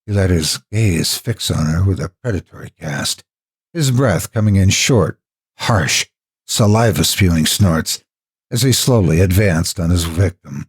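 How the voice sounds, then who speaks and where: A man narrating scripted horror with deliberate, theatrical phrasing, as a storyteller and host. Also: deep voice, expressive, husky. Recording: music behind the voice. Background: background music.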